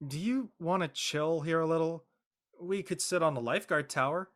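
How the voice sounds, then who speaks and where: A man playing an awkward, earnest teenage high school boy in an audio play, with a dry, slightly flustered manner. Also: normal speed, fairly bright tone, lightly acted. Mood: casual, friendly. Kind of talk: conversational acting in an online audio play.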